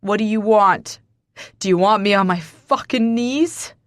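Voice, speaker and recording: A woman narrator voicing a grown man who is furious and heartbroken, shouting and cursing. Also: medium pitch, unhurried, no echo, natural voice. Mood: anguished. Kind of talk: audiobook character voice.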